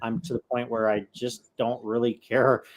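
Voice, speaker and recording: A man's voice: mid-range voice, little pitch movement, normal speed. A man co-hosting a casual podcast, speaking loosely with fillers and searching for words. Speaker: podcast co-host. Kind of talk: casual chat.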